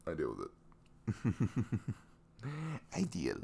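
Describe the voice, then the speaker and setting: A man playing a sweet, caring young boyfriend in an intimate ASMR roleplay, chatting casually and rambling in a velvety low voice. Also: huge pitch swings, slow pace, gravelly, darkish tone, slight background noise, full character voice. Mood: playfully griping. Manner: chuckling, informal, loosely rambling.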